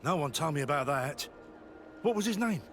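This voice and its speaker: A genteel male merchant from a fantasy game, with a high, lively voice. He is courteous, salesmanlike and evasive, over clearly audible music. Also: normal speed. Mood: evasive, uneasy. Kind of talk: video game dialogue. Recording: music behind the voice.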